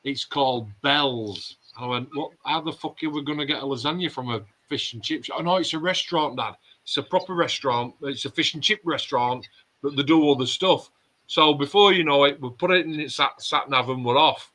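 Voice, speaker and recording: Bright-voiced Yorkshire man, blunt and sweary. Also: medium pitch, a little fast. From Yorkshire, England. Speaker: host of a modelling club chat. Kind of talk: casual online livestream chat.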